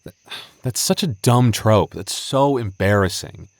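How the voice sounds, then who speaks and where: A man's voice: lowish voice, expressive, measured pace, no room sound. A man playing a flustered, bashful young male game master in an intimate roleplay audio drama, stammering, sighing and mock-stern with his childhood friend. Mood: embarrassed, exasperated.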